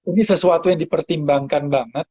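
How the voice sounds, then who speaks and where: A man's voice: on the high side, steady tone, mellow, husky, dull audio. A man hosting a casual interview, restarting phrases and mixing in some English words. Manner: halting, repeats phrase starts, mixes in English words. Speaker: host interviewing a guest about breathing practice.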